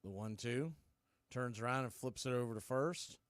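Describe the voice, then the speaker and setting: A man's voice: mid-range voice, moderate pace, no echo. A man announcing baseball in a play-by-play commentary style.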